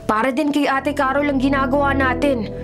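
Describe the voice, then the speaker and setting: A woman's voice: high-pitched, fairly even intonation, a little fast, over music, natural voice. A woman playing a curious, earnest young boy in a radio drama, polite and inquisitive. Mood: conspiratorial.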